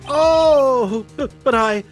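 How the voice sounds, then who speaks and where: Woman playing a slow, timid, gloomy young man. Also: sits high, soft background music. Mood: timid, miserable. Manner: hesitant, stammering. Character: gloomy, timid young man who insists he's a thief. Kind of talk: live fan dub of a video game.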